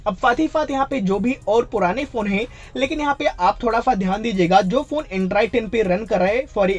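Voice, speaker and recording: A man presents a tech tutorial as the host of his own channel. He talks in a casual, explanatory voice-over style. English words and phrases are mixed freely into his sentences. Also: sits high. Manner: mixes English phrases into speech.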